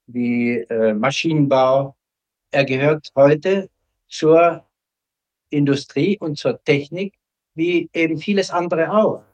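A man playing a self-justifying professor in a re-enacted radio interview, explaining in a halting, measured way. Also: on the high side, highs cut off. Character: self-justifying male professor and rocket scientist.

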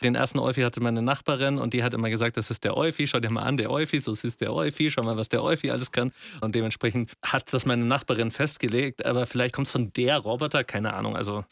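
A man's voice: medium pitch, steady tone, fast talker, gravelly, hardly any pauses, no room sound. A man in casual studio discussion, explaining things in a colloquial way as a co-presenter.

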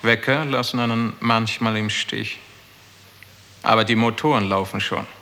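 Casting: a smug, poetry-minded official; low, creaky, leisurely and sardonic, in a reverberant vintage radio play. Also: male voice, fairly even intonation, moderate pace, bright, forceful tone. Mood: amused, sardonic. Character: smug, cynical male superior with poetic pretensions. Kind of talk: 1960s German radio drama acting. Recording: reverberant hall, 1960s radio production.

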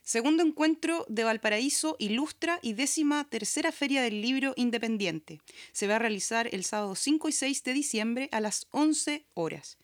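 A woman's voice: on the high side, lively intonation, moderate pace, no room sound, clean studio sound. A Chilean woman reading scripted announcements as a podcast host. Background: background music.

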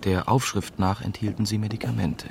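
An actor playing a young, unsure newspaper reporter who narrates and talks in a radio drama. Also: male voice, lowish voice, steady tone, a little fast, gravelly, faint music behind. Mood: sober narrating. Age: young adult. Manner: hesitant in dialogue, sober in narration.

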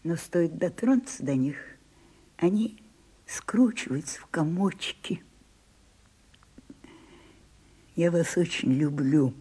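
An actress in a radio play, playing an imperious, capricious ageing stage diva with a theatrical, commanding, husky delivery. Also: female voice, lowish voice, lively intonation, unhurried, dark tone. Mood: teasing, fond.

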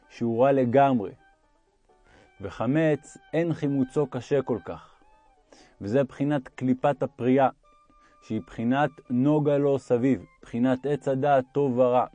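A man's voice: mid-range voice, warm tone, no echo. A man reading a religious text aloud as an audiobook narrator.

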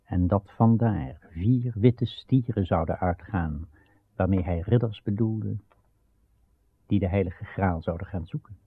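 A man narrating a radio play of old legend in a grave, measured, solemn storytelling style with dramatic pauses. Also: low-pitched, breathy edge. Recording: muffled, narrow-band old radio recording.

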